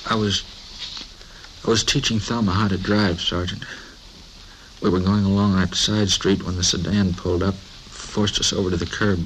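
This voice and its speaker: An American actor playing a shaken, beaten schoolteacher in his thirties, speaking haltingly and subdued in an old radio drama. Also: low voice, flat delivery, fairly bright tone. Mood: pained, subdued. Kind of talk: 1950s radio drama acting. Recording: noisy old radio broadcast recording.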